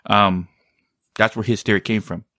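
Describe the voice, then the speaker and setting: A man talks in a low voice, loose and meandering. He often stalls to search for the right word. The sound is dry, with no room echo. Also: fairly even intonation, moderate pace, pushed and bright. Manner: rambling, often searching for words. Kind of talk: casual chat.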